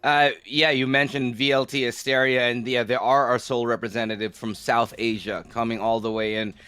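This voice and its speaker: Guy hosting esports desk, energetic, quiet music under it. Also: mid-range voice, a little fast, smooth, no room sound. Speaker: esports caster. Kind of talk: esports panel commentary.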